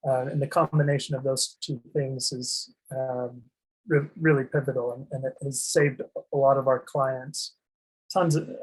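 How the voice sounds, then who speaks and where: A man walks through a finance presentation in a flat, monotone delivery. His voice is slightly husky and sits at an ordinary middle pitch. He fills pauses with ums and often restarts words mid-phrase. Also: low-quality recording. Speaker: asset protection presenter. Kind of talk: explaining a slide presentation.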